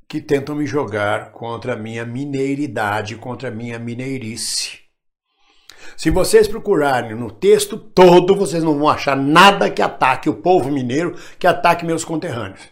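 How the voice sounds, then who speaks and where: An older Brazilian man from Minas Gerais, an actor speaking straight to camera at home, emphatic and proud as he defends himself. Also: mid-range voice. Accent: Brazilian Portuguese, national standard. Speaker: actor addressing followers. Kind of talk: direct-to-camera monologue. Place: home room with bookshelf, indoors.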